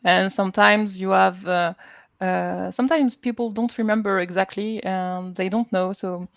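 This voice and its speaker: A woman with a French accent talking informally as a panel guest, haltingly searching for words. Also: medium pitch, fairly even intonation, gravelly, no echo, lo-fi sound. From France. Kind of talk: informal live panel talk.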